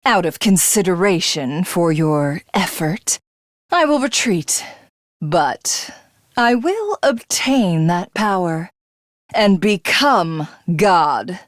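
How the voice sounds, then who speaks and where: A woman's voice: fairly low pitch, animated, takes it slowly, dry recording, studio quality. A woman voicing a haughty alien villainess in a video game, grandiose, theatrical and condescending. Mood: smug, ambitious. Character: haughty female alien commander craving godhood.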